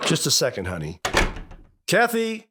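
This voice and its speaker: A man's voice: fairly high pitch, huge pitch swings, a little slow, very noisy. An actor playing a good-natured but easily exasperated middle-aged American father in a 1950s radio sitcom, with an avuncular, take-charge way of talking. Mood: exasperated, probing.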